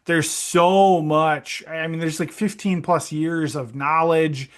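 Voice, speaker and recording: A man in his thirties with an Upper Midwest accent, chatting casually as an interview guest, relaxed and friendly with plenty of ums. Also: fairly high pitch, slow pace. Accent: Upper Midwest American. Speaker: guest, esports director.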